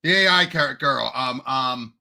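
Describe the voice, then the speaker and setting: A man co-hosting a casual movie discussion podcast, talking emphatically and excitably and jumping in often. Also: medium pitch, a little slow, gravelly. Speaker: podcast co-host.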